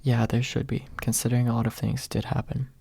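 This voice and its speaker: A man voicing an exasperated, good-natured young man in an audiobook, with a husky voice and wry, casual retorts. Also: mid-range voice, flat delivery, brisk, mellow, no echo. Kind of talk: ASMR audiobook character reading.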